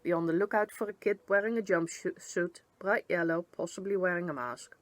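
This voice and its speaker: A woman voicing a police constable in a storybook, brisk, practical and official, giving instructions. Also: on the low side, steady tone, no echo, natural voice.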